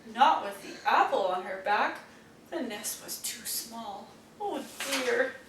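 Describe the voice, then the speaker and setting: A woman narrates children's storybooks in a high, very animated voice. She tells them slowly and expressively for young listeners, recorded in a roomy space at some distance from the mic. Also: noisy background. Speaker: storybook reader. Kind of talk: children's read-aloud narration.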